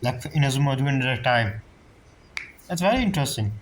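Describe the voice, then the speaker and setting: A woman's voice: a bass voice, lively intonation, brisk, background noise. A woman giving casual, informal gameplay commentary as she plays, in short musing reactions. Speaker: game streamer, player. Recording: game music behind the voice. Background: video game music.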